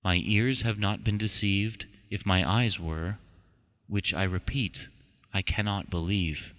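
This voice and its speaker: Low-voiced man narrating with long reverb. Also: steady tone, fairly bright tone. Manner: measured, formal, deliberate delivery. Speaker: audiobook narrator. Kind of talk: scripted ghost story narration.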